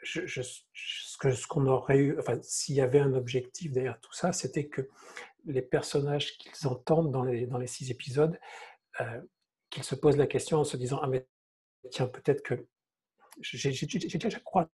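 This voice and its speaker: A man with a very husky, soft-edged voice at an average pitch speaks in a casual, reflective way. He feels his way through sentences, hesitating and repeating words. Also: steady tone, moderate pace. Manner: hesitant, groping for words with repetitions. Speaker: writer, panel guest. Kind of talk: casual, reflective panel talk.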